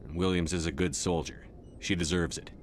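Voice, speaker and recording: An actor playing a stoic, dutiful military commander in a video game, speaking in a terse, controlled, serious way. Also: male voice, sits low, steady tone, brisk, slight background noise, natural voice. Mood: firm, dutiful. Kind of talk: video game dramatic dialogue.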